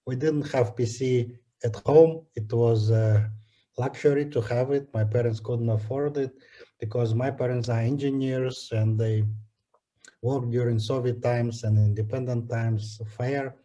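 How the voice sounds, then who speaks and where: A man in his forties from Kazakhstan with a Kazakhstani accent, answering interview questions in a measured, phrase-by-phrase way. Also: mid-range voice, steady tone, dark tone. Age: 40s. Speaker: interview guest, energy executive. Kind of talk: conversational interview answer, personal background.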